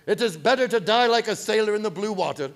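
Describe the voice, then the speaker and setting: Man acting a grim, high-pitched ship captain. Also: animated. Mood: dread, resolute. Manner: clipped, halting log-entry phrasing with broken English. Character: grim, fearful foreign ship captain reading his log. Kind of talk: dramatic radio play narration.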